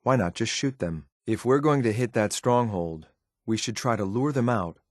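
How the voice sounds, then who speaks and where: A man's voice: medium pitch, expressive, normal speed, no room sound, clean studio sound, lightly acted. A man playing a cool, efficient synth enforcer in a video game, clipped and matter-of-fact, watchful and faintly condescending. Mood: cool, wary. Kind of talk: video game companion voice lines.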